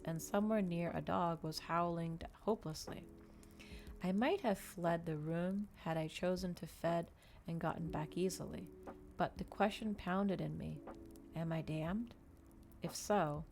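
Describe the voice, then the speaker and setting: A woman reading a story aloud in ASMR style, with a measured, dramatic delivery in short phrases. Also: fairly low pitch, darkish tone. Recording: clear background ambient noise.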